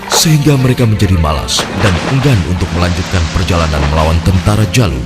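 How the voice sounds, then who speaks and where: A man with a deep voice narrates a cartoon story from a script. Loud music plays behind his narration. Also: lively intonation, fast talker.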